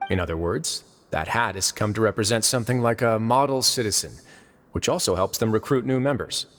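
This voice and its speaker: An actor voicing a composed, wry duke in a video game, speaking in a dry, polite, unhurried manner. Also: male voice, fairly low pitch, a bit bright, lightly acted. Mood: composed, explanatory. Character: composed, wry duke running an underwater prison.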